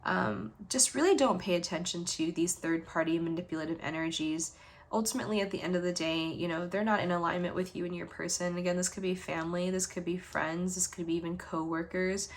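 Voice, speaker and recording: A woman's voice: fairly low pitch, slight background noise. Rambling woman giving an encouraging tarot reading. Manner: rambling, with frequent ums and you-knows; earnest, affirming and encouraging. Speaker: tarot reader. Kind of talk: pick-a-card tarot reading to camera.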